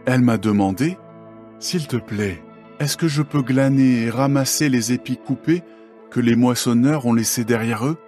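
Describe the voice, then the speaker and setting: A man's voice: medium pitch, moderate pace, darkish tone, soft background music, lightly acted. A man playing a respectful young foreman of field harvesters in a dramatised scripture reading. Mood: respectful, reporting.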